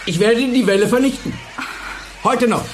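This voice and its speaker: A high-pitched male voice plays a driven schoolteacher in a German radio play. He is intense and commanding, with the bearing of a charismatic dictator. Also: moderate pace, music in the background, poor audio. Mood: determined. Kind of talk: German radio drama acting.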